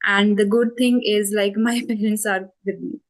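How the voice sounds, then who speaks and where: A woman's voice: mid-range voice, fairly even intonation, moderate pace. A woman in her twenties with an Indian English accent, answering interview questions about her channel in a thoughtful way, with many fillers and self-corrections. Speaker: spoken English channel host.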